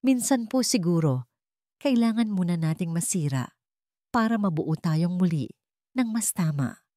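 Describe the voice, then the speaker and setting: A gentle young nanny in love, read by a woman narrator, humble and grateful. The speech is polite, soft and heartfelt, in the manner of a romantic audio story. The sound is very dry and close. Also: on the low side, animated, measured pace, dry recording. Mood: tender, reflective.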